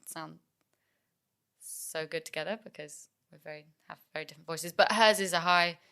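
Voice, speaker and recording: Young english woman chatting, low voice but bright and clear, lots of ums. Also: normal speed. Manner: chatty, many fillers and restarts. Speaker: musician guest. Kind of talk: casual interview chat.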